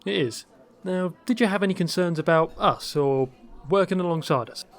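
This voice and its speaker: An actor playing a polite, reassuring adventurer who leads interviews in a fantasy audio drama. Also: male voice, high-pitched, normal speed. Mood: diplomatic. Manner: polite, diplomatic. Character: courteous, reassuring male adventurer leading interviews.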